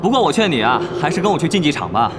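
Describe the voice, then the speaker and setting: A man's voice: fairly high pitch, sing-song, rapid-fire, echoey room, very noisy. An actor playing a cocky, hot-headed young male online gamer in an audio drama, brash and exclamatory, whiny when thwarted. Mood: cocky challenge. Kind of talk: Chinese audio drama acting.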